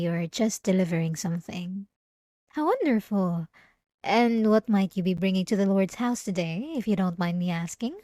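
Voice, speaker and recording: Female actor as pious, secretly obsessive church girl for ASMR roleplay; mid-pitched, unhurried, hesitant and sweetly polite. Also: a little mellow, no echo, clean studio sound. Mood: gentle, gracious. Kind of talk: ASMR roleplay acting, addressing the listener directly.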